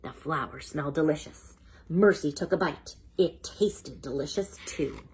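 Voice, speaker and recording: A woman storyteller reading a children's book aloud in an animated style. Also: low voice, unhurried, slightly rough, low-quality recording.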